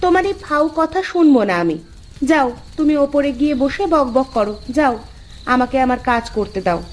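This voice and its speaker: A woman's voice: sits high, expressive, normal speed, slight background noise. A woman voicing a practical village housewife in a cartoon, matter-of-fact and chiding with her husband. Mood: impatient. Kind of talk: Bengali cartoon dubbing.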